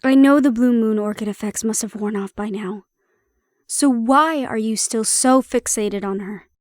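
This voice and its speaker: A woman playing a prickly but caring handler in an intimate audio roleplay, speaking in a measured, probing way. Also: on the high side, dry recording, studio quality, close to an everyday voice. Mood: sardonic, pointed. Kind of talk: intimate audio roleplay acting, one-sided conversation.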